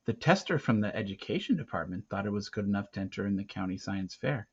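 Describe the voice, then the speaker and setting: Lower-pitched man narrating, slightly muffled. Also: animated, fast talker, husky. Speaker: teacher reading a book aloud. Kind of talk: expressive first-person audiobook narration. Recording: slightly muffled, dull recording.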